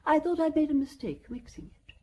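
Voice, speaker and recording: An actress playing a dainty, composed elderly widow in a radio drama, earnest and precise with dramatic pauses. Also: female voice, high voice, big pitch swings, darkish tone, a little hiss or hum. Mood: grave, recounting. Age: older. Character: dainty, genteel, composed old French widow.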